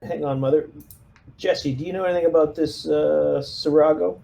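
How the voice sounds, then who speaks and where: A man's voice: fairly high pitch, fairly even intonation, moderate pace, gravelly, mellow. A man playing a tabletop roleplaying game, speaking casually and hesitantly in an understated way, with some background noise. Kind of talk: casual in-character game chat. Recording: background noise, room ambience.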